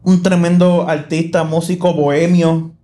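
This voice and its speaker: A fairly high-voiced man hosts a relaxed podcast, talking loosely and circling back with fillers and restarts. He sounds easygoing and laughs readily, recorded in a church live room. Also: unhurried. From South Texas. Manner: chatty, rambling with many fillers and restarts, laughs easily. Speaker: podcast host. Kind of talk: casual podcast chat.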